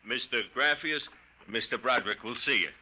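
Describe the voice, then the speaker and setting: An American man playing a big, slow-witted henchman in a 1950s radio drama, blunt and slangy. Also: medium pitch, bright, forceful tone. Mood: dim, dutiful. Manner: blunt, slangy, clipped answers. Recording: restored 1950s radio recording, faint noise.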